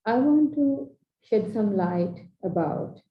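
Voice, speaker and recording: A woman from Sri Lanka with a Sri Lankan English accent, giving an earnest, measured coaching talk in short, paused phrases. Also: medium pitch, lively intonation, slight background noise. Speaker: transformational coach. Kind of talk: slow reflective training talk. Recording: dull echoey room recording.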